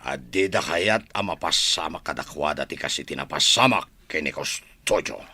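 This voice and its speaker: An actor playing a corrupt, scheming provincial governor in a radio drama, gloating and emphatic, with an Ilocano accent. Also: male voice, rumbling low, lively intonation, normal speed, sharp tone, husky, no echo, full character voice.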